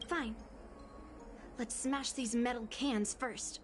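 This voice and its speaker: A woman's voice: a little high, steady tone, slow pace, very noisy. A woman voicing a headstrong young swordswoman in a video game, blunt, guarded and earnest. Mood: determined, brusque. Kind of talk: English video game voice acting. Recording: music behind the voice.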